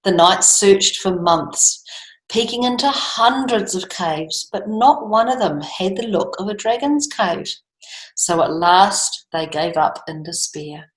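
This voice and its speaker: A woman reads a children's story aloud. She stresses and stretches key words and talks directly to young listeners. Her mid-pitched voice echoes in the room. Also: takes it slowly. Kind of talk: expressive picture-book reading with chatty asides.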